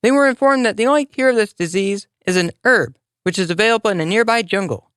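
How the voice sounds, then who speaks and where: A narrator reading a scripted children's moral story in an expressive, deliberate storybook manner. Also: medium pitch, clear, resonant tone, dry recording, clean studio sound.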